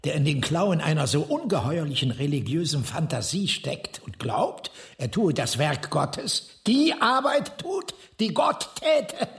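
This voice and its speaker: A man's voice: a little high, very animated, measured pace, creaky, few pauses, fairly bright tone, full character voice. An actor playing a cynical, eccentric man who philosophizes in a sardonic, declamatory way in a radio drama. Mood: mocking. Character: cynical, eccentric philosophizing brother, excitable and caustic.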